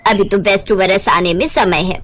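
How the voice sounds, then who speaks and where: A woman voicing a kindly elderly auntie in an animated storybook tale, talkative, affectionate and reassuring. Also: mid-range voice, normal speed, a little hiss or hum, close to an everyday voice. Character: kindly elderly Gujarati woman, chatty cooking teacher.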